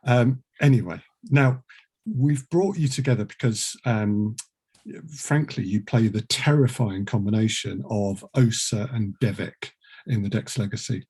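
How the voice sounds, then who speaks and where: A man's voice: fairly low pitch, a little slow, darkish tone, breathy edge. A man hosting a podcast as a casual interviewer, wordy and wry, with a teasing manner. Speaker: podcast host and producer.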